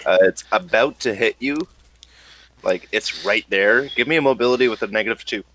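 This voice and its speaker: A man narrating a tabletop role-playing game as game master, improvising in a halting, conversational way. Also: fairly low pitch, pushed and bright, poor audio.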